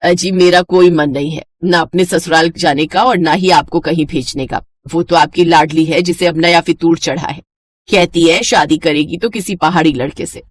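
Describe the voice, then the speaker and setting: A woman's voice: low-pitched, steady tone, normal speed, no room sound. A woman voicing a practical middle-aged mother in a storybook tale, chiding and nagging but fond. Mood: exasperated. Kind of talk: animated Hindi moral story dialogue.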